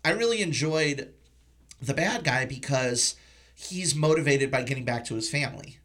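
A man's voice: mid-range voice, moderate pace, a bit bright. A man hosting a podcast in a casual movie review chat, emphatic and repeating phrases for stress. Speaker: podcast host.

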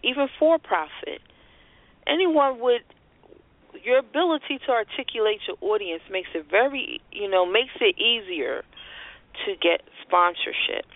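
A woman's voice: mid-range voice, dry recording. A woman co-hosting a radio show, giving unscripted business advice in a conversational, explanatory way over the phone. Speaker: radio show co-host and marketing strategist. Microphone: telephone. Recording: tinny phone-line call-in audio.

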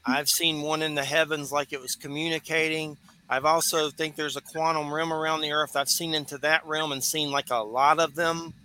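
A man's voice: a little high, little pitch movement, moderate pace, few pauses, pushed and bright, a little hiss or hum. A man hosting an interview, asking curious, rambling questions full of fillers. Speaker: host. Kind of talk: interview conversation.

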